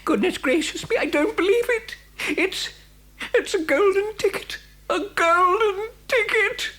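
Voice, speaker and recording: A woman's voice: very high-pitched, expressive, measured pace, fairly bright tone, full character voice. A woman playing a kindly, fussing shopkeeper who stammers and repeats words in an audio drama for children. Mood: amazed, breathless. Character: kindly, fussing shopkeeper serving a small boy.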